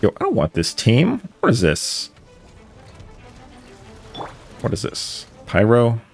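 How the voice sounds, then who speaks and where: A man's voice: mid-range voice, wildly swooping pitch, moderate pace, vocal fry, faint music behind. A man giving casual live game commentary, wry and muttering to himself as he plays. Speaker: live streamer.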